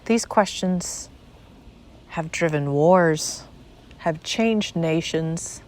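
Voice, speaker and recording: A woman with a slightly low voice leads a guided meditation. She speaks very slowly and deliberately, setting short phrases apart with pauses. The delivery is a measured spiritual monologue. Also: a little mellow.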